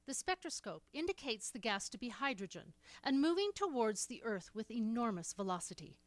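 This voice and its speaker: Formal radio announcer, higher voice, dry sound. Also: female voice, sing-song, brisk, dry recording. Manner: formal, crisp bulletin delivery. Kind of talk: 1930s radio drama acting.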